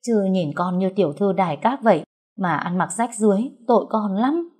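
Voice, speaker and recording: Lady narrator doing a cheeky young con-artist fortune teller girl, kinda husky, boastful storybook trickster. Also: medium pitch, expressive, moderate pace, darkish tone. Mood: scared, wheedling. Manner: boastful, colloquial, wheedling.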